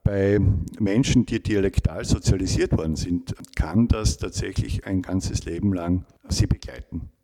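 A middle-aged man, a linguistics professor giving measured, academic interview answers and often rephrasing himself. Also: low voice, warm tone, slight background noise.

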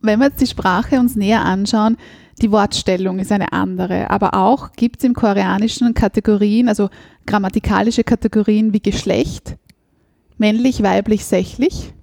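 A woman host asking curious, measured questions in an interview. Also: medium pitch, steady tone, gravelly, a little mellow, no echo, clean studio sound.

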